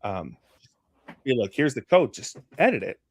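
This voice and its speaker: A man from Canada speaking as an interview guest, casual and halting, often restarting his phrases. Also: mid-range voice, sing-song, slightly rough, no echo. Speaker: interview guest, community manager.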